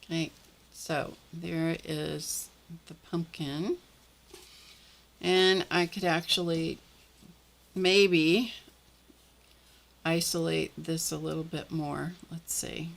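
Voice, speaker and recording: A middle-aged woman with a slightly croaky voice, hosting a live art journaling session and chatting casually while she works with her hands. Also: low-pitched, fairly even intonation, drawn-out words, long pauses. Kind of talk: live craft demonstration.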